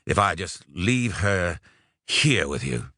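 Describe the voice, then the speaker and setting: A man voicing a rough, hulking drinker in an audiobook, gruff, awkward and hesitant. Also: deep voice, sing-song, takes it slowly, bright, forceful tone, no echo, clean studio sound, full character voice. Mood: awkward, pleading. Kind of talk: audiobook character voice.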